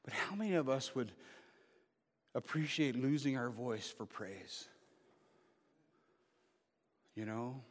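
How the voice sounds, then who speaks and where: A man's voice: medium pitch, animated, takes it slowly, long pauses. A man in his fifties preaching an informal sermon as pastor, mixing joking asides with emphatic calls to the congregation. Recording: preached in a reverberant hall.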